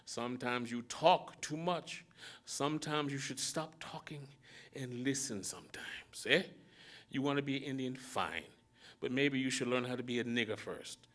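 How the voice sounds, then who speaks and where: A man voicing a gruff, scolding old mechanic. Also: medium pitch, a bit bright, natural voice. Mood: grumbling. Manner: lecturing, drawling, rough.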